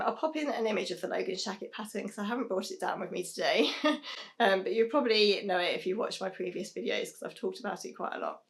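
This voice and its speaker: A young British woman chats to a camera set up in a small sewing room at home. Her voice is a little croaky and worn. She rambles in a friendly way, full of ums and soft laughs. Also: medium pitch. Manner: chatty, many "um"s, soft laughs. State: hoarse, losing her voice. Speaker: sewing vlogger. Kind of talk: casual chat to camera.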